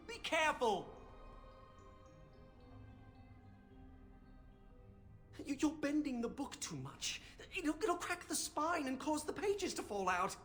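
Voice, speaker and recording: Nerdy nervous young wizard scholar, pitch jumps around, fussy, game dialogue, some music under. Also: male voice, fairly bright tone, heavily acted. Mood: alarmed. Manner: fussy, stammering, pedantic. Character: fussy, bookish young male mage scholar, nervous, excitable. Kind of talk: video game voice acting.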